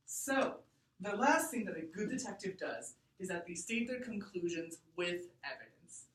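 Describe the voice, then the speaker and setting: A woman teacher reading aloud to a class and explaining deliberately and expressively, thinking out loud. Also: mid-range voice. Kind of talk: read-aloud lesson to a class. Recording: echoey room, distant mic.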